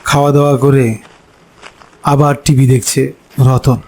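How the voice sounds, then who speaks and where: A man narrating a scripted horror story in a dramatic, suspenseful way, pausing between short phrases. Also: medium pitch, flat delivery, darkish tone, slight background noise. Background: background music.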